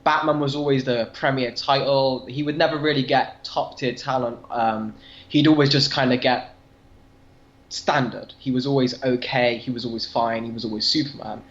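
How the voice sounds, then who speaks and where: A man's voice: mid-range voice, fairly even intonation, normal speed, fairly bright tone, reverberant, highs cut off. A British man speaking as a podcast guest in casual, opinionated discussion. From Britain. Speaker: podcast guest and comic book fan.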